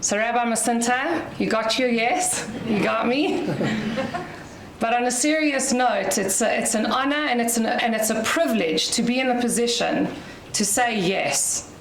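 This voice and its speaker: A South African woman with a loud voice gives an inspirational talk to an audience as guest speaker, chatty with light laughs. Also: on the high side, measured pace, reverberant, crowd noise, rough recording. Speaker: guest speaker at a community dinner.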